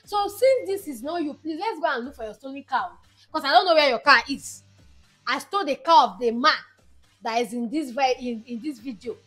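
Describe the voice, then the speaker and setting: A woman playing a sly wife in a comedy skit, calm and mocking. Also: sits high, a little fast, faint music behind. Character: sly wife who sold her husband's car.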